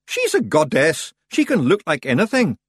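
A man voicing a small, wild male fairy warrior chieftain with a Scottish accent, loud and hearty. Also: on the high side, wildly swooping pitch, normal speed, breathy edge, no room sound, studio quality, voice pushed up, exaggerated voice. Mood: boisterous.

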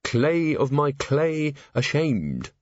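A man voicing an earnest, courteous policeman who speaks gravely, formally and with measured care, in an audiobook. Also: a little high, big pitch swings, no room sound, muffled recording, pitched above the performer's own voice.

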